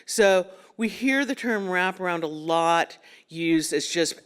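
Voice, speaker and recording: A woman's voice: fairly low pitch, animated, slow, clear, resonant tone. A woman clinical psychologist, giving a conversational conference talk with frequent ums and self-corrections.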